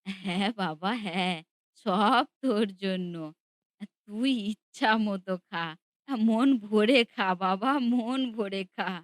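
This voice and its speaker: A woman voicing a gentle, loving old grandmother in a cartoon, speaking softly and pleading. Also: medium pitch, slow, lightly acted. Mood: tender. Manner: soft, pleading.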